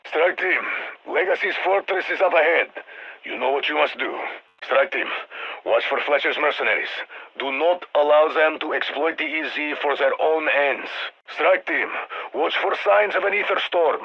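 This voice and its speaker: A battle-hardened Russian commander issues clipped, formal mission orders over a muffled radio. His voice is fairly high for a man, with a heavy gravelly rasp and a Russian accent. He sounds stern and commanding, like a military handler in a video game. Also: normal speed.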